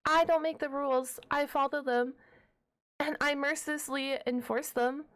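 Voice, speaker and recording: A woman's voice: high voice, steady tone, normal speed, fairly bright tone. A woman playing a shy, nerdy half-dragon librarian in an ASMR roleplay, stammering and wordy, awkwardly defensive and a stickler for rules. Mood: stern, officious. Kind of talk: ASMR roleplay audio drama.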